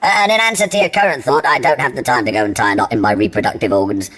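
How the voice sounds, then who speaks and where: An actress playing a brusque, weary alien desk official, dry and matter-of-fact, in a radio comedy drama. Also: female voice, a bass voice, rapid-fire, a bit bright. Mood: deadpan.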